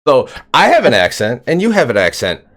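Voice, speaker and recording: A man's voice: mid-range voice, huge pitch swings, a little fast, fairly bright tone. A man hosting a casual interview podcast, talking in a loose, rambling way with lots of fillers. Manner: rambling, filler-heavy, upbeat. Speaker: podcast host.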